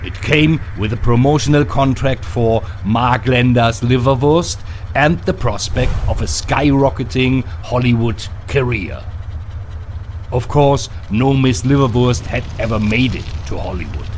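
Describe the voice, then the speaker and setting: A man reading dramatic scripted narration for a motion comic in a portentous, measured way. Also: medium pitch, darkish tone, a little hiss or hum. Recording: clear music behind the voice. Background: music.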